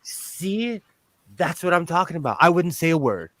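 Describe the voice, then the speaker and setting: Fortyish guy, kinda high loud voice, rambly livestream chat w lots of laughing, dry close sound. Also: sing-song, moderate pace, no echo. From lives on the West Coast of the US. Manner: rambling, laughs often, restarts sentences. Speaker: sewing livestream host. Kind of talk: casual chat while sewing.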